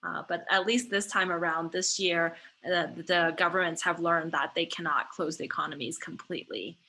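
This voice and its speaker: A woman in her thirties, originally from China, speaks in a bright, clear voice. She answers interview questions at length in a thoughtful way, often pausing on fillers and restarting her sentences over a remote call. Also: on the low side, fairly even intonation, slight background noise. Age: 30s. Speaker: guest, startup founder.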